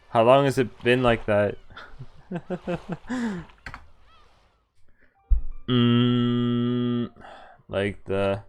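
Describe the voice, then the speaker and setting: A man's voice: medium pitch, clean tone. A man giving casual, chatty livestream commentary on a visual novel, breaking off into asides. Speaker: game streamer.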